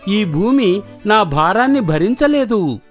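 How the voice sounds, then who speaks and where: A crafty fox posing as a saint for a children's cartoon. The voice is high and swings up and down, pompous in its mock piety, with faint music underneath. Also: male voice, lively intonation, takes it slowly. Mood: falsely pious. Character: sly fox posing as a pious holy man.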